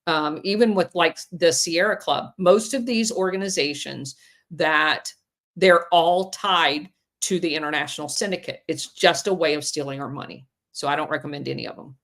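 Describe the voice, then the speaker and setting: A woman's voice: sits low, normal speed, a bit bright. A woman hosting a call-in podcast, giving plain-spoken advice with frequent ums. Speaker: podcast host.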